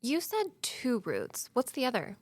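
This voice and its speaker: An actress playing a wry, spirited young daughter who guides ghost tours in an audio drama, with a dramatic storytelling flair. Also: female voice, fairly high pitch, wildly swooping pitch, unhurried, no echo, heavily acted. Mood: curious.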